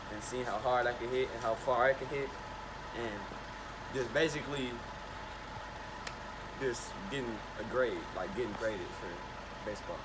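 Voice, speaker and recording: A teenage boy explaining in a relaxed, halting way. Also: medium pitch, background noise. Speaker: player. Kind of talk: casual interview answer.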